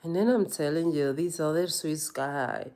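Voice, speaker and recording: A woman's voice: low-pitched, measured pace, a little mellow. A woman yoga teacher in a reflective personal monologue, earnest and rambling, often saying "you know".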